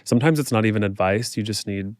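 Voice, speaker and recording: Smooth low-voiced man, casual podcast guest. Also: fast, a little mellow, dry recording, studio quality. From American Midwest. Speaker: podcast guest, fitness instructor. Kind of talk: casual conversational interview.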